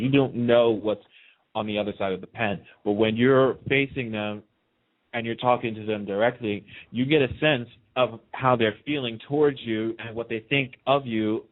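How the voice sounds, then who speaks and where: A man's voice: fairly low pitch, flat delivery, moderate pace. A man chatting casually and enthusiastically in an interview, telling anecdotes and acting out the dialogue he quotes. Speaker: radio host being interviewed as a guest. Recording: band-limited, lo-fi phone-in radio sound.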